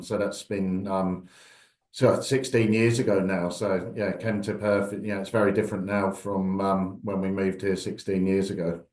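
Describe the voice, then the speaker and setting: Male mortgage broker from Southampton, low and flat delivery, echoey call room. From England, Southampton. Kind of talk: casual guest introduction. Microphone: video call.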